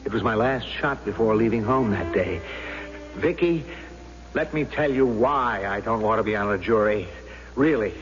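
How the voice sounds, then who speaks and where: A man's voice: fairly low pitch, moderate pace, natural voice. An actor playing a doubtful, theatrical man who is a reluctant juror, in a brooding, questioning radio drama style. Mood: reluctant, bantering. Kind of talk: 1970s radio drama acting. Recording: dull old radio recording with music.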